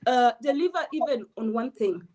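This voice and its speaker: A woman's voice: fairly high pitch, lively intonation, moderate pace, mellow. A woman from Kenya, giving blunt, emphatic political opinions as an interview guest.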